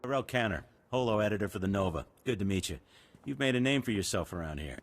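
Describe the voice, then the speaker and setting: An actor playing a brisk, determined news editor in a video game, husky-voiced, businesslike and emphatic. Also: male voice, fairly low pitch, expressive. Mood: brisk, grave.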